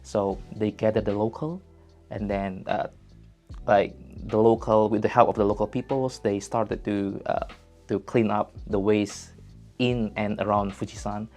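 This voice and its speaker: A man's voice: lowish voice, unhurried. Hesitant young man. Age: young adult. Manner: hesitant, many fillers, relaxed. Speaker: intern interviewee. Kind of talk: casual interview answers. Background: faint music.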